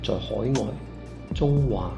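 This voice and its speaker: A man from Malaysia reads slowly and deliberately, his low voice gravelly. The recording is roomy and echoey, with instrumental music playing clearly behind him. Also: highs cut off. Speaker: art teacher and clay artist. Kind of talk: prepared reading.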